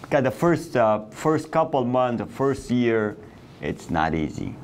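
A man's voice: medium pitch, big pitch swings, unhurried, a little hiss or hum. A man in his thirties from Afghanistan, speaking English in a sit-down interview, earnest, with phrasing and restarts. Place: indoor office room.

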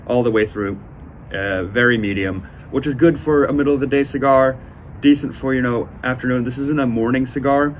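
A man in his twenties giving a casual, unhurried review to camera, speaking with enthusiastic praise. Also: medium pitch. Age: 20s. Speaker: cigar reviewer, host. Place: outdoors on a sunny porch by windows. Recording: outdoor ambient noise.

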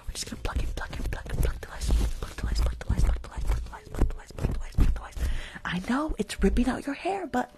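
A young woman doing a whispered ASMR roleplay as a rude school nurse, blunt and grumpy, speaking in a breathy whisper close to the mic. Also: high-pitched, big pitch swings, fast talker. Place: small indoor room.

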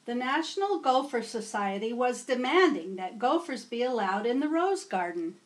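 A middle-aged woman reading a picture book aloud to children in an expressive, measured storytelling style, in a room at home. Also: fairly high pitch. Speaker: storybook reader. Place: small home room with bookshelves.

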